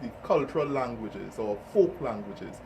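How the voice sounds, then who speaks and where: A man's voice: mid-range voice, animated, normal speed, gravelly. A man, hosting an informal interview and speaking as an organiser. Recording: distant mic, faint background noise.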